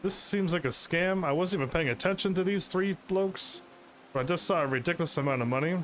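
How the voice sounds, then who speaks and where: A man streaming a video game, talking casually and pushing his voice up to sound lively and upbeat, laughing often. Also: a little fast, a little hiss or hum. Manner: energetic, projects his voice higher and louder; chatty, laughs often, restarts sentences. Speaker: gaming streamer. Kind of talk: casual let's-play commentary.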